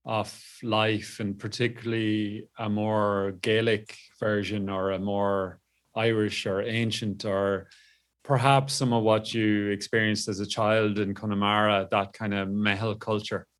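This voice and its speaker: A man hosting a podcast, asking long, probing questions in a soft manner. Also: on the low side, fairly even intonation, a little slow. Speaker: podcast host.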